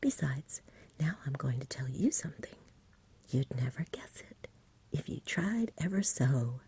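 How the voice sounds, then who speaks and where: A courteous storybook dragon voiced by a woman chats in a soft, very breathy whisper. He is fussy and genteel, eager for company, with a mellow tone suited to ASMR bedtime reading. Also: very deep voice, animated, a little slow, exaggerated voice. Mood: musing, confiding.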